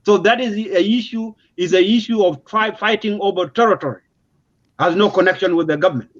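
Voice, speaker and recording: High-pitched South Sudanese man, emphatic. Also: a little fast. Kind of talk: unscripted explanatory political discussion. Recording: dull, lo-fi audio.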